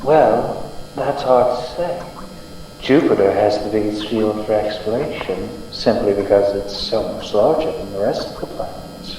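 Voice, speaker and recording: A man's voice: on the low side, measured pace, mellow, close to an everyday voice. Young man as earnest space hero, very echoey. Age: young adult. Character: earnest heroic male space adventurer, loyal and polite. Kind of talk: old-time radio serial acting. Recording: very echoey hall, distant, faint hum.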